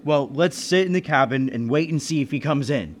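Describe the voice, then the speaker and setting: A man playing an anxious businessman who narrates a murder mystery in deliberate, dramatic 1930s radio suspense style. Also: on the high side, slight background noise, close to an everyday voice. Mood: wary.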